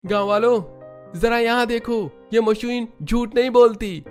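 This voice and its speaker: A man voicing an excitable young village man who urges and pleads in a cartoon story. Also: very high-pitched, measured pace, soft background music, pitched above the performer's own voice. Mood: urgent, emphatic. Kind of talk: Hindi cartoon dialogue acting.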